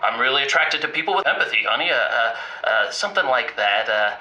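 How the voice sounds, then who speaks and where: Actor as sheepish roughneck caller for improvised comedy: very bright, tense voice, mid pitch, nervous rambling. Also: male voice, expressive, fast talker, poor audio. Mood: pleading. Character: anxious, sheepish male oil-rig roughneck husband. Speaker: caller on a comedy call-in show.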